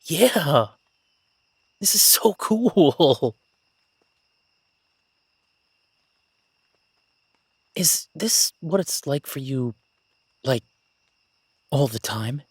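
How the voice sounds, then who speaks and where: A man playing an excitable, nerdy young academic on his first jungle expedition in a roleplay audio drama, gushing, stammering and apologetic. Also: a little high, drawn-out words, long pauses, slightly rough, dry recording, full character voice. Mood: giddy excitement. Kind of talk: immersive roleplay audio drama, one-sided conversation.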